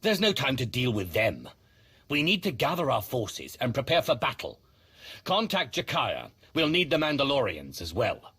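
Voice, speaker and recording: A man playing a stern Sith sorcerer leader in a video game, terse and authoritative, with a husky, raspy voice. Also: medium pitch, huge pitch swings, normal speed, a bit bright, exaggerated voice. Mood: commanding. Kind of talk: video game cutscene acting.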